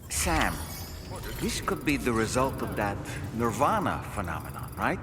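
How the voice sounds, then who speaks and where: Give me a worried crew member in a game, with a lively voice. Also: male voice, medium pitch, unhurried, noisy background. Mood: concerned. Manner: concerned, questioning.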